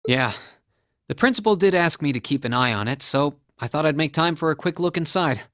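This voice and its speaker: A man's voice: on the high side, animated, fairly quick, a bit bright, no echo, studio quality. An actor playing an earnest, easygoing young male academy student in a video game, polite and friendly. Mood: puzzled, earnest. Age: young adult. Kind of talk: English anime-style game voice acting.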